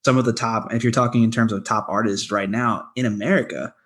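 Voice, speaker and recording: A man co-hosting a podcast, chiming in with relaxed, affirming remarks. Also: medium pitch, a little fast. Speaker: podcast co-host. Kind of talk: casual podcast chat.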